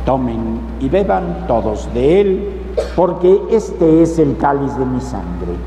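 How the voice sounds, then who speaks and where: Male priest, middle-aged; formal liturgical prayer, long church reverb over loud background noise. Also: a little high. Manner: measured, solemn delivery. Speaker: priest presiding at Mass. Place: large church, at the altar.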